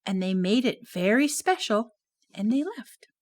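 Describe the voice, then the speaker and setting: A woman narrating a children's puppet story in an animated, sing-song manner. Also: on the high side, unhurried.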